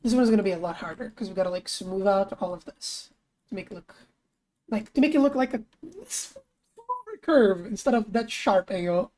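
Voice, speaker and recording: Mellow-toned young woman streamer chatting. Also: moderate pace. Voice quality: voice lower than her usual after waking. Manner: chatty, thinks aloud, bursts of high excitement. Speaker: streamer and artist. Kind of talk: casual live-stream chat while working.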